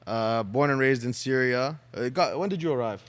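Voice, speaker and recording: A man's voice: mid-range voice, expressive, moderate pace, in a large hall. A Canadian man hosting a podcast interview, eager and casual, asking long rambling questions with restarts. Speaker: podcast host.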